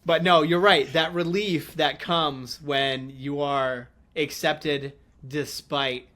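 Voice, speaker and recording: A man's voice: fairly high pitch, fairly even intonation, takes it slowly, pushed and bright. A man hosting a casual group chat in a chatty, joking way, often breaking into laughter. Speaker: podcast host.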